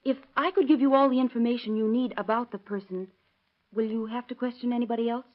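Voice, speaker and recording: A woman's voice: a little high, steady tone, fast talker, close to an everyday voice. An actress playing a worried, hesitant woman in a 1930s radio drama, on a muffled old recording. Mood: hesitant, anxious. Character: worried, hesitant woman searching for her missing sister.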